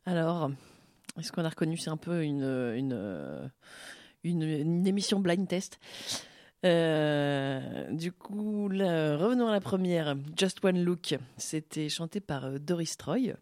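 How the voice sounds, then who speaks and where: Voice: medium pitch, lively intonation, slow pace, darkish tone, no room sound, studio quality. A presenter talking casually and unscripted between music tracks, in a hesitant, rambling, informal way.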